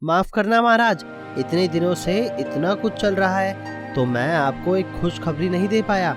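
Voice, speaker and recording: A man's voice: high voice, moderate pace, music in the background, natural voice. A man playing a loyal, respectful royal minister who speaks formally in a cartoon story. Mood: happy, apologetic.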